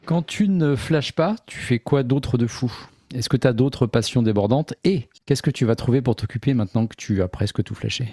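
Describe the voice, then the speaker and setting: Easygoing podcast host man, mellow voice. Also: mid-range voice, normal speed, hardly any pauses, dry recording, studio quality. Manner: easygoing, brief affirmations. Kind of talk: casual interview questions.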